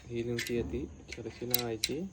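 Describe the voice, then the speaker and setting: A man with a Malvani accent talks informally, explaining his work step by step like a motorcycle vlogger. His mid-pitched voice stays quite flat. The handheld phone recording has clear background noise. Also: moderate pace. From Konkan, western India. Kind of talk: casual hands-on restoration vlog.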